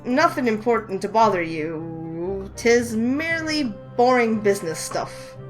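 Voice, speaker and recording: A woman's voice: fairly high pitch, animated. A woman voicing a shifty, evasive man trying to stay unnoticed, with faint music behind. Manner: evasive, hurried, stilted. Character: shifty secretive man in disguise.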